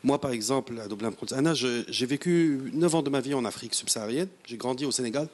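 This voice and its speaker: A man's voice: mid-range voice, quick pace. Earnest middle-aged man on a panel. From Morocco. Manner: reflective, earnest, switches between French and Moroccan Arabic. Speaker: panelist, radio host. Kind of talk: panel discussion.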